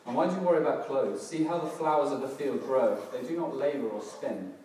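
Middle-aged preacher, hoarse and crackly, casual and hesitant; distant mic, strong hall echo, noisy room. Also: male voice, medium pitch, a little fast. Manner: relaxed, self-deprecating, hesitant with fillers and restarts. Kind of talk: casual church sermon. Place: church hall, in front of a congregation. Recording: distant, very echoey hall with background noise.

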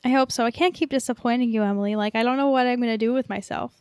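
A woman's voice: on the high side, fairly even intonation, very fast, a little mellow, smooth, no room sound. A woman co-hosting a podcast in casual chat, with an informal, self-deprecating manner. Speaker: podcast co-host.

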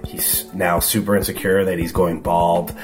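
A man's voice: deep voice, flat delivery, measured pace, faint music behind. A man in his thirties from Canada, an interview guest talking casually with many fillers. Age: 30s. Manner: casual, rambling, many likes.

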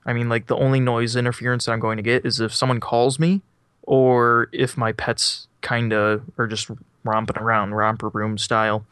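Young male co-host, casual chat, smooth clear voice, dry close sound, rambling and self-deprecating. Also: medium pitch, fairly bright tone, no room sound. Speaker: podcast co-host. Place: on a couch at home. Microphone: microphone set up beside him.

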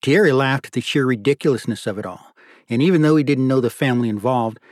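A man's voice: mid-range voice, quick pace, darkish tone, dry recording, studio quality. A man narrating literary fiction as a scripted audiobook.

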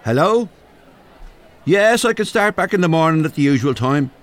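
An actor playing a polite, earnest and excitable young man with a wordy manner in a comedy audio drama. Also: a little high, normal speed, very noisy, lightly acted. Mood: delighted, relieved.

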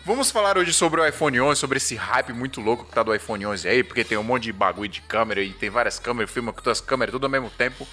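A man's voice: medium pitch, expressive, quick pace, hardly any pauses, pushed and bright. A man with a Brazilian accent hosting a podcast in a casual, slangy, jokey chat. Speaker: podcast host.

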